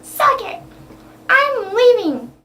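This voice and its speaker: Young girl doing a very high, whiny girl puppet. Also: sing-song, slow pace, bright, forceful tone, heavily acted. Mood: huffy. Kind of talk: improvised amateur puppet show. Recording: faintly noisy amateur home recording.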